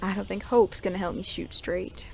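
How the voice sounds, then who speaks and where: A woman playing a weary, gruff young male sheriff in a Western audio drama, with a folksy, sardonic drawl. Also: mid-range voice, level tone, normal speed, slight background noise, natural voice. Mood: wry, sardonic. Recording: loud guitar music behind.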